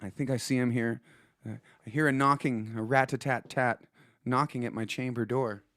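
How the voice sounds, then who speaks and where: A man hosting a live stream, singing slow drawn-out lines and then chatting casually with his bandmates. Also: medium pitch, dry recording, clean studio sound. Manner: slow drawn-out singing over guitar, relaxed joking talk. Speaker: host and musician. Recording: music playing behind voice.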